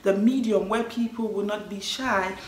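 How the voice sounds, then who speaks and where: A woman's voice: medium pitch, fairly even intonation, normal speed. A young woman from Liberia, chatting casually to her vlog audience about herself, often affirming with "yes". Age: young adult. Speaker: vlogger. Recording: echoey room with faint ambient noise.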